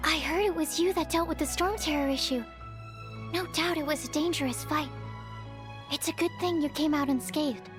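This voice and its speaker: A woman's voice: high voice, moderate pace, over music, natural voice. A woman voicing a shy, bookish young female alchemist in a video game, speaking in a hesitant, polite and earnest way. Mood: hesitant, curious. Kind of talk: English video game character voice acting.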